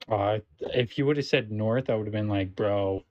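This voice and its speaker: A man's voice: moderate pace, a little mellow, no echo. Brief: young man, stream host, teasing and profane, dry dull lo-fi sound. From Alberta, Canada. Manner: teasing, profane, jumps into high exclamations. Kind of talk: casual livestream banter.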